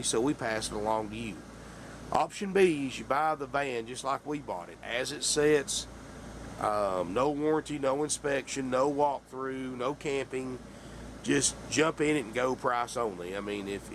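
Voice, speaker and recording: A man, hoarse from a sinus infection, giving a folksy sales pitch for a camper van and calling his viewers folks. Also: a little hiss or hum. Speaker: RV dealer. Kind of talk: sales pitch walkthrough video.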